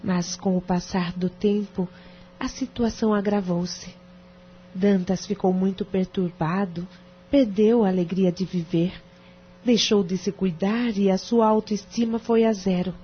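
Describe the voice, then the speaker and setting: A woman's voice: medium pitch, fairly even intonation, a little slow, slight background noise, close to an everyday voice. A Brazilian woman playing a distressed, sincere wife in an audio drama, speaking formally and earnestly. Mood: confiding, troubled. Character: distressed, sincere wife pleading her case to a lawyer. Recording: dull, muffled radio drama recording.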